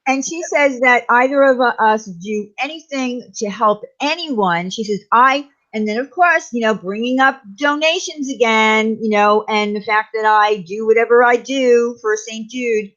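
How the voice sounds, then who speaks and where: Co-host, woman, stern and deliberate, slightly high voice, dull lo-fi audio. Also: a bit bright. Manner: stern, warning tone, halting. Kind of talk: casual talk show chat.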